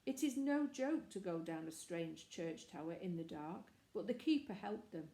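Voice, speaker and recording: A woman's voice: fairly low pitch, animated, moderate pace, mellow. A woman reading a children's story aloud as scripted narration. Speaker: storybook reader. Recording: echoey, reverberant room.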